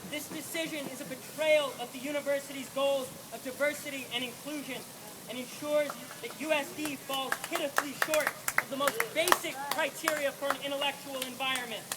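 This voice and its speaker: A young student with a quiet but high voice reads a letter in a measured, pointed, indignant manner. He speaks at an outdoor rally, and loud ambient noise runs beneath him. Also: steady tone. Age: 20s. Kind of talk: reading a letter aloud at a protest.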